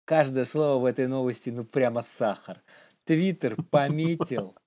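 A man's voice: medium pitch, normal speed, warm tone. A young man co-hosting a casual news chat in an informal, slangy manner, often chuckling as he talks. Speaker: podcast co-host.

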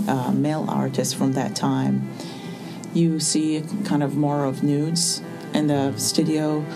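A woman, speaking as an artist in an interview and pausing often with fillers. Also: extremely low pitch, gravelly, poor audio. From Iraq. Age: 40s. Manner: hesitant, with many pauses and fillers. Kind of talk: reflective interview answers. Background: soft music underneath.

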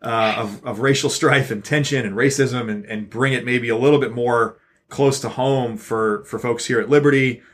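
A man's voice: mid-range voice, fairly even intonation, moderate pace, fairly bright tone. A man co-hosting a church podcast, talking in a rambling, encouraging way with frequent fillers. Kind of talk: casual chat.

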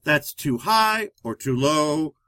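A man with a loud voice who talks fast, co-hosting a live-streamed relationship talk show. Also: fairly high pitch, no echo. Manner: fast-talking, energetic.

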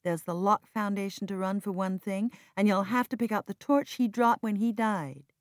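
A woman's voice: medium pitch, normal speed, dry recording, clean studio sound, voice pushed up, lightly acted. A woman narrator playing an earnest, strong-willed and loyal woman in mourning, emphatic and reproachful, in an audiobook drama. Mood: firm, reproachful.

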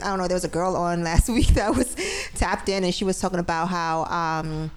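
A woman's voice: fairly low pitch, fairly even intonation, moderate pace, a little hiss or hum. A young woman from the United States, talking in a loose, rambling way in a casual group chat and laughing often. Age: young adult. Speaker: podcast guest host.

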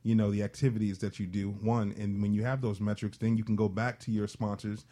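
Male co-host, slightly low pitch, informal conversational panel delivery. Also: little pitch movement, a little fast, dark tone. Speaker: talk show co-host. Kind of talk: casual panel discussion.

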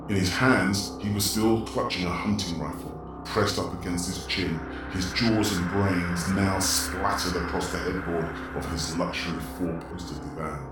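A man giving a dramatic, scripted narration of a horror story. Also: deep voice. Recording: echoey, distant, under prominent music. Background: prominent music.

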